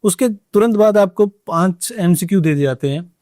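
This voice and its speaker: Higher-voiced man explaining informally. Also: normal speed, a little mellow, no room sound, studio quality. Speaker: air traffic controller being interviewed.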